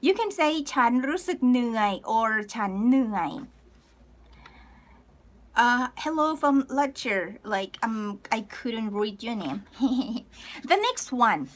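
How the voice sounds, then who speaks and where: Woman in her 30s, high voice, upbeat live-stream teacher who laughs easily, phone mic in a small room. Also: animated. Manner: cheerful, laughs often, spells out words slowly. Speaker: Thai language teacher. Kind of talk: live-streamed lesson reading viewer comments. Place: small room indoors, plain wall.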